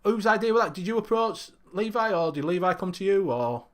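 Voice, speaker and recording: A man's voice: high voice, lively intonation, a little fast. A man hosting a casual online chat show, easygoing and talkative, on a headset. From Northern England. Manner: chatty, easygoing. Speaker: host.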